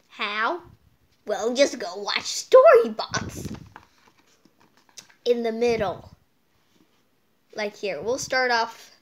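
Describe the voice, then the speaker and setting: A woman hosting a casual storytime read-aloud, drifting off into playful, whimsical asides as she goes. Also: fairly high pitch.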